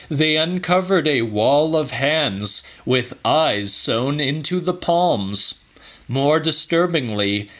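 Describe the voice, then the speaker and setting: Guy doing a dramatic horror audiobook read, slow and measured, kinda theatrical. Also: a little high, a bit bright. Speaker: audiobook narrator.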